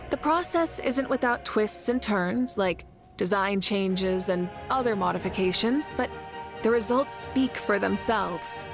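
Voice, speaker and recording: Actress as female game war leader, confident, warm, composed, mid-pitched, measured delivery, background music. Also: close to an everyday voice. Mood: warm, fond. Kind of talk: scripted video game character lines.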